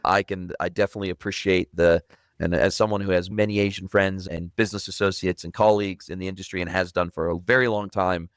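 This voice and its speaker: A man's voice: low voice, steady tone, quick pace, clean tone, no echo, clean studio sound. A man hosting a podcast, talking in long, emphatic statements. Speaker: podcast host. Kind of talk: podcast interview.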